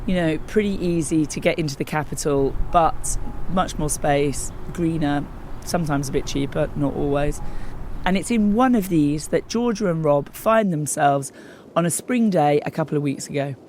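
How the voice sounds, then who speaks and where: A woman hosting an investigative podcast, narrating in a measured, deliberate way with a hoarse, croaky voice. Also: deep voice, a little mellow, slight background noise. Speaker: host and investigative journalist. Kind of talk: scripted documentary narration.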